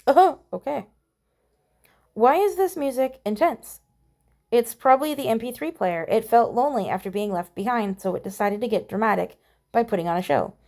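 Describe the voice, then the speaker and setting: A woman with a fairly high voice and a noticeable creak. She chats casually through a game playthrough, reading text aloud and adding mild, playful exclamations. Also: expressive, normal speed, darkish tone. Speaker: gamer voicing a playthrough.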